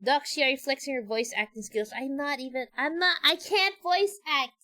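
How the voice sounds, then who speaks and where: A woman's voice: high-pitched, moderate pace, noisy background. A woman live-streaming a game, reading its dialogue aloud expressively and chatting casually with her viewers. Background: game music.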